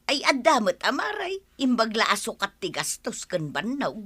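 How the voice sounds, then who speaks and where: A woman acting a lively Filipino village woman, high-voiced. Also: moderate pace, breathy edge, dry recording, full character voice. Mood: teasing. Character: animated, giggly, teasing village farm woman. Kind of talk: Ilocano radio comedy drama acting.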